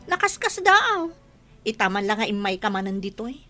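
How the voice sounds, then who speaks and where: A woman's voice: medium pitch, big pitch swings, normal speed, bright, forceful tone, faint music behind. A woman in a radio drama playing a nosy, scolding woman of the household. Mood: scolding, surprised.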